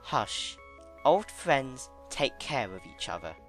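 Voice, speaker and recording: A man voicing a gentle, anxious female pony who is caring and insistent, in an earnest dramatic story reading. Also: medium pitch, sing-song, takes it slowly, faint music behind, pitched above the performer's own voice, exaggerated voice. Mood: tender. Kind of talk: dramatic fan-fiction reading.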